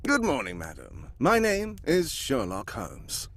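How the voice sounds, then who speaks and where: Guy acting as polite assured detective in an old radio play, really lively pitch, bit of noise behind. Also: measured pace. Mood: courteous. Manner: formal, precise, courteous. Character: composed, observant gentleman detective, courteous and assured. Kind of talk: cold-read live old-time radio drama acting.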